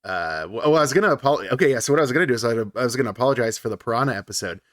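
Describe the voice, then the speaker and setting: A congested man in a mid pitch banters on a podcast, cracking jokes and laughing easily. His voice sounds stuffy from a cold, recorded close and dry. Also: animated, rapid-fire, fairly bright tone, dry recording. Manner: joking, laughs often. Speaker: podcast co-host. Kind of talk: casual chat.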